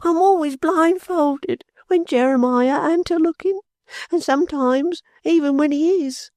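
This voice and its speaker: A man's voice: very high voice, measured pace, darkish tone, no echo, studio quality, pitched above the performer's own voice. A man reading an audiobook, voicing a frightened, superstitious servant woman with rustic, ungrammatical speech. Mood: fearful. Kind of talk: audiobook character voice.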